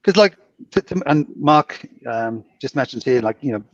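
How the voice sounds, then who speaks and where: A Welsh man in his forties, hosting a casual podcast chat and telling stories in an animated, exclaiming way. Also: mid-range voice, normal speed, no echo. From Wales. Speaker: podcast host, casino dealer. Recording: dull, dry lo-fi sound.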